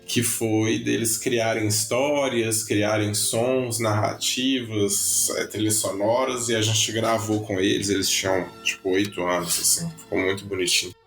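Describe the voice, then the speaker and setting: A man from Brazil, a musician and educator, recalling his projects in a relaxed, storytelling way, stretching some words. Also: fairly low pitch, measured pace, few pauses, faint music behind. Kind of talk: casual interview talk. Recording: roomy echo.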